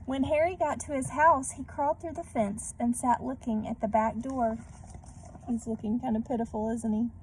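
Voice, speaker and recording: A woman reading a storybook aloud to children in a gentle manner, pausing to put questions to her young listeners. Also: fairly high pitch, fairly even intonation, low-quality recording. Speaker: read-aloud host for children. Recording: background noise.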